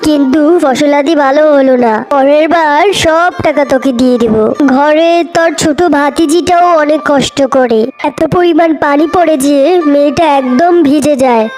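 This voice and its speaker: A woman's voice: high voice, normal speed, darkish tone, close to an everyday voice. A woman voicing a poor, humble male fox villager in a cartoon folktale, with a pleading, folksy, plaintive way of talking. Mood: weary, pleading. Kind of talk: cartoon folktale character acting.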